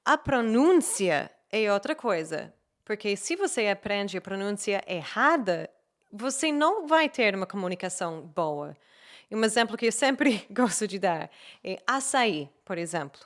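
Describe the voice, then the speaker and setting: American lady w/ english accent on her portuguese, chatty, teaching vibe, bouncy pitch. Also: unhurried. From United States. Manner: animated, explains with examples. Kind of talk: casual conversation.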